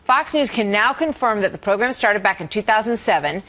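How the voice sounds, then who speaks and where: A woman's voice: mid-range voice, lively intonation, brisk, bright, forceful tone. A woman with an American accent presenting scripted broadcast news as an anchor.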